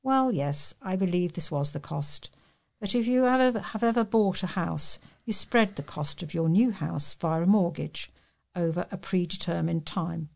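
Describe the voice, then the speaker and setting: A woman's voice: on the low side, normal speed, vocal fry, a little mellow. A British woman reading local news articles and letters aloud as a volunteer newsreader. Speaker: volunteer newsreader for a talking newspaper.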